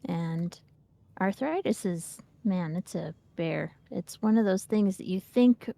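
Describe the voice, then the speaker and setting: A woman's voice: medium pitch, slow pace, gravelly, warm tone. A woman in her fifties chatting casually and candidly about gaming as a streamer. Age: 50s. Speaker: game streamer, co-host.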